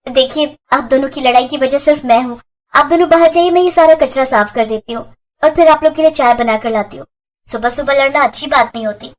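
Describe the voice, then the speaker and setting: Woman as young sister-in-law, high voice, kind, sensible peacemaker, family story. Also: a little fast, slight background noise, natural voice. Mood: gentle. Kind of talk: Hindi moral story voice acting.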